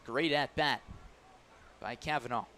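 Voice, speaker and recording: An American man doing live radio baseball play-by-play, building excitement as the action unfolds. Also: mid-range voice, unhurried.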